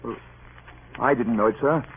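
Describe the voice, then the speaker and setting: An actor playing a stern ship's captain who also narrates, clipped and formal, in an old-time radio drama. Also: male voice, mid-range voice, moderate pace, close to an everyday voice. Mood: baffled, exasperated. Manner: clipped, formal, easily exasperated. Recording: scratchy, tinny old radio broadcast.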